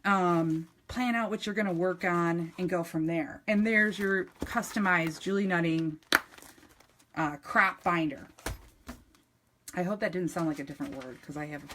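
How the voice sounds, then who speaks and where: A woman's voice: on the low side, a little slow, a bit bright. Woman, middle-aged, upstate New York accent, chatty live craft demonstrator, faint clicks and handling noise behind. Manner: chatty, enthusiastic, many ums and restarts. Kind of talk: live-streamed craft demo.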